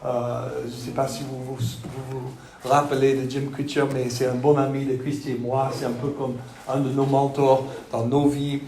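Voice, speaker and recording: A man from Texas with a Texan accent, speaking French as a second language, preaching a sermon with jokes and halting fillers. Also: mid-range voice, flat delivery, dark tone, a little hiss or hum. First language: English. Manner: joking, self-deprecating, halting with fillers. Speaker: pastor. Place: church hall. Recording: echoey church hall.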